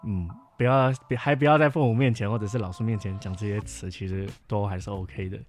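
A young man with a Taiwanese Mandarin accent chats casually and reflectively, explaining at length and softening his opinions. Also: fairly low pitch, fairly even intonation, a little fast, slight background noise. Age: young adult. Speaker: camp counselor sharing experiences. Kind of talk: casual podcast chat. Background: background music.

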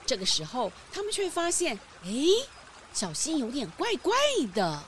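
A woman with a Taiwanese accent narrating a children's audio story in an expressive storytelling manner. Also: high voice, moderate pace, very noisy.

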